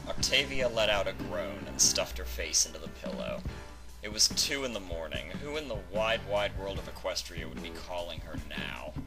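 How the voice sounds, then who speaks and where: A man's voice: deep voice, expressive, normal speed, over music. A man reading a scripted fan fiction story aloud as its narrator.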